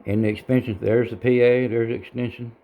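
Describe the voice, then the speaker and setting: A man giving a casual, unscripted how-to demonstration in a halting, folksy, plain-spoken way, as a hobbyist. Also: mid-range voice, level tone, narrow, tinny audio. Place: indoor home radio room.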